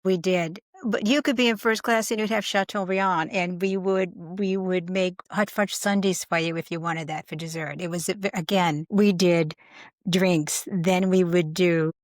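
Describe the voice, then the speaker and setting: A woman's voice: fairly low pitch, fairly even intonation, no echo, studio quality. An older woman with a slight Boston accent, candidly telling stories as an interview guest. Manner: candid, storytelling, quick asides. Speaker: guest, integrative medicine coach. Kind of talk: casual interview chat.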